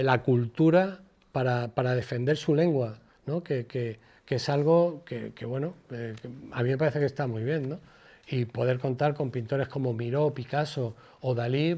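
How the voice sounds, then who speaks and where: An older man with a Spanish accent from Spain gives an informal lecture, colloquial and digressive, halting and repeating words as he goes. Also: medium pitch, mellow. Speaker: guest lecturer, former radio presenter. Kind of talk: informal public lecture with personal anecdotes.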